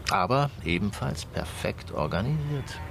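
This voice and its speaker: An actor playing a dry-humoured, probing police inspector in a German radio crime drama, speaking deliberately with musing hums. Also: male voice, lowish voice, sing-song, creaky, noisy background, heavily acted. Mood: musing, suspicious.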